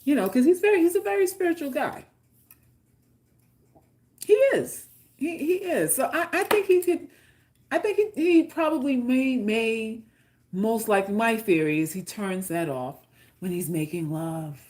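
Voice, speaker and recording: Female livestream host, New York accent, brighter-pitched, blunt comic delivery. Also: lively intonation. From New York, Queens. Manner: blunt, joking, swears freely, quick asides. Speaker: livestream host reading chat. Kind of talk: casual unscripted chat.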